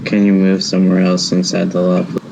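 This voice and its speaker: A man's voice: deep voice, completely flat delivery, brisk, creaky. A man giving terse instructions in a casual gaming voice chat. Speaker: player directing others in a bus-driving game. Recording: dull, noisy game voice chat.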